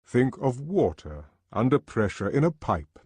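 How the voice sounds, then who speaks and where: A man playing a calm, authoritative male controller who lectures students in measured phrases. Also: medium pitch, breathy edge, no echo. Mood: lecturing.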